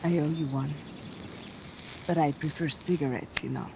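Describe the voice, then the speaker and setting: A woman's voice: deep voice, fairly even intonation, normal speed, background noise. An actress voicing a blunt, streetwise young woman guerrilla fighter in a game cutscene. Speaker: game character. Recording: game cutscene with music behind.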